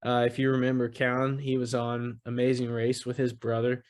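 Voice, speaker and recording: A man hosting a laid-back sports podcast. He rambles easily, with plenty of "you know" and "uh" between his thoughts. His voice sits at an ordinary mid pitch. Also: normal speed. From Texas. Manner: relaxed, rambling, heavy on fillers. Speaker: podcast host. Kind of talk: casual sports chat.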